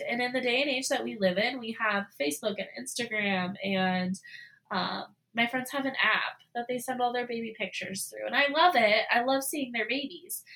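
A woman in her twenties hosting a solo podcast, talking casually with lots of fillers and animated asides. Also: a little high. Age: 20s. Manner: chatty, frequent "like" and "you know" fillers; animated, voices her own past thoughts. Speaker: podcast host.

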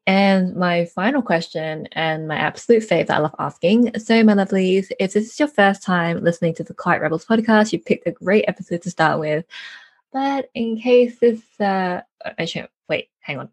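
A woman hosting a podcast interview in a chatty, informal and giggly way. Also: medium pitch. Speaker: podcast host. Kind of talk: casual conversational interview.

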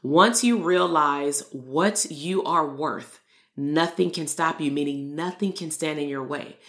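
A woman's voice: deep voice, a little slow, echoey room. A woman hosting a solo motivational podcast as a therapist coach, talking earnestly and repeating key lines for emphasis. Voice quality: loud voice.

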